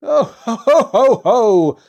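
A merry old Santa Claus from a children's storybook, performed by a male reader. He is hearty and loud, stretching his words in a sing-song way with big swoops of pitch. The voice is slightly husky. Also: big pitch swings, measured pace. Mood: laughing, jolly. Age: older. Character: merry old Santa Claus, jolly, booming, singing as he works.

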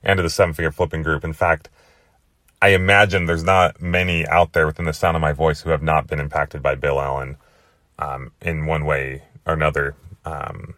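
A young man with a hoarse, worn-out voice hosting his podcast in a rambling, chatty way. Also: extremely low pitch, flat delivery, normal speed, sharp tone, no echo, clean studio sound. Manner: chatty, rambling with many fillers. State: hoarse, lost voice. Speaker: podcast host. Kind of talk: casual monologue.

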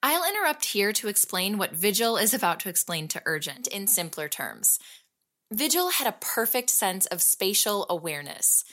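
An investigative podcast host, narrating an audio fiction like a documentary. Her pitch is medium and very lively, and her delivery is explanatory and conversational. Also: moderate pace. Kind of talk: scripted documentary-style narration.

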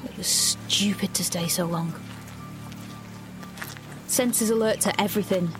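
An actress playing a despairing, isolated young rebel in a dystopian audio drama, narrating her ordeal in an introspective, halting way. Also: medium pitch, over music, lightly acted. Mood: wary relief. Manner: introspective, halting, self-questioning.

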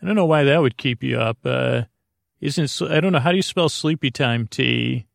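A man hosting a sleep podcast in a gravelly voice, rambling through a halting, meandering bedtime monologue full of tangents and broken-off sentences. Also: mid-range voice, dry recording, studio quality. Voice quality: gravelly, creaky voice. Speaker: podcast host.

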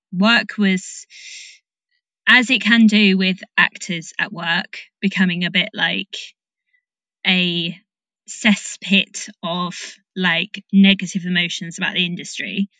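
A woman's voice: medium pitch, fairly even intonation, slow pace, bright, forceful tone, no room sound, rough recording. A woman hosting a casual podcast conversation, supportive and informal, thinking aloud as she talks. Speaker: podcast host.

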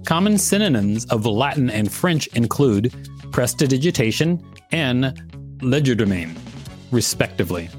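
A man's voice: medium pitch, very animated, moderate pace, soft background music. A man co-hosting a podcast, reading encyclopedia text and bantering casually. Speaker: podcast host.

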